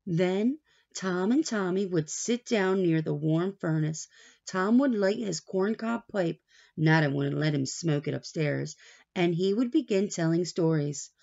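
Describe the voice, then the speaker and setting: Slow, creaky-voiced woman reading to children. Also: mid-range voice, highs cut off. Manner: expressive, asks questions and pauses for answers. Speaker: teacher reading aloud to young children. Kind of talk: children's story read-aloud with asides.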